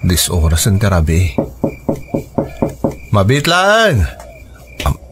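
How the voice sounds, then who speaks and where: A man's voice: sits low, wildly swooping pitch, slow, background noise, exaggerated voice. An actor playing a humble young working man, startled and questioning, in a radio drama. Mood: alarmed.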